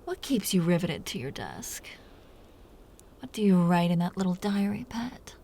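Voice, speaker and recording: A woman playing a teasing, seductive, dominant vampire captor in an intimate roleplay audio drama, talking in breathy half-whispers. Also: fairly low pitch, expressive, moderate pace, warm tone, slight background noise. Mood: coaxing.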